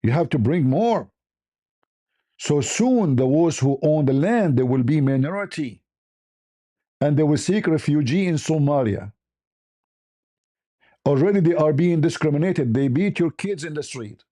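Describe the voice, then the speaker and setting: A man's voice: fairly high pitch, lively intonation, measured pace, pauses often, mellow. A man with an Arabic accent giving a blunt, off-the-cuff opinion monologue as a livestream host, repeating phrases for emphasis.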